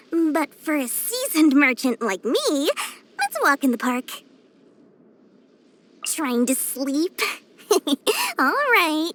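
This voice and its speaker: A woman's voice: high voice, a bit bright, a little hiss or hum, full character voice. A woman voicing a small, cheerful, greedy young female merchant for a video game, with theatrical, sing-song sales patter. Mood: eager, wheedling, then playful, greedy. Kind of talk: animated video game voice acting.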